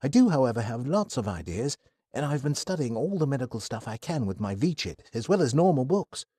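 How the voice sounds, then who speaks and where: A man's voice: mid-range voice, big pitch swings, a little fast, dark tone, slightly rough, no echo, clean studio sound, voice pushed up. A man voicing a flustered, polite eighteen-year-old who stammers and apologizes a lot, in a storybook reading. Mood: earnest.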